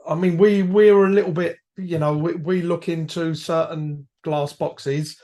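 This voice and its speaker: A man, hoarse from a cold, a guest reminiscing about his years as a firefighter in rambling, self-correcting talk. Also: fairly high pitch, steady tone, measured pace, warm tone. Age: 50s. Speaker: podcast guest, retired firefighter. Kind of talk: reflective conversational storytelling.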